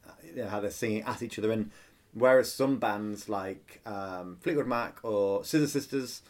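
Lower-pitched man, chatty and hesitant. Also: normal speed. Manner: enthusiastic, playful, with frequent hesitations. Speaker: podcast co-host. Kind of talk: casual chat reviewing an album.

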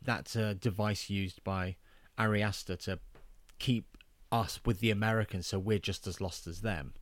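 Male podcast co-host, low voice, dry close sound, quietly quippy. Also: steady tone, moderate pace, no echo. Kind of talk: casual film discussion.

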